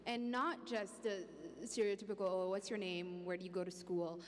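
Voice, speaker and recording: A woman's voice: mid-range voice, fairly even intonation, normal speed. A young woman from Congo speaking earnestly as a panelist on stage, holding a handheld mic in a large hall. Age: young adult. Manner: earnest, outspoken, with restarts. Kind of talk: panel discussion. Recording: hall reverb, faint background noise.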